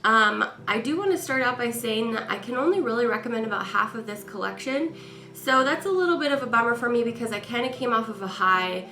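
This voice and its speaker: A woman's voice: a little high, animated, a little fast, sharp tone, slight background noise. A woman vlogger giving a chatty, rambling product review while stuffy and congested from a cold. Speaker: beauty vlogger. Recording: echoey room with faint music.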